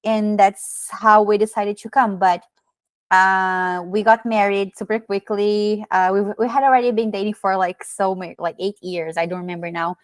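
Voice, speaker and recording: Woman Brazilian-accented guest, 30s, storytelling, mid pitch, dry close mic. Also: normal speed, dry recording. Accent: Brazilian Portuguese. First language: Portuguese. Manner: many fillers and restarts, animated storytelling. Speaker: podcast guest. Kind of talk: casual interview chat.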